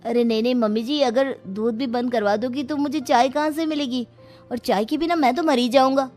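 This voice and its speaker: A woman's voice: sits high, a little fast, darkish tone, voice pushed up, natural voice. Lazy freeloading son-in-law, breezy and wheedling woman's voice. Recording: music behind the voice.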